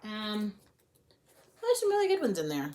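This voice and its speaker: A woman's voice: mid-range voice, wildly swooping pitch, moderate pace, mellow, a little hiss or hum. A woman hosting a read-along in a casual, gossipy, slangy way, sometimes dropping into whispers as she chats and reads aloud. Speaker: host reading a book aloud.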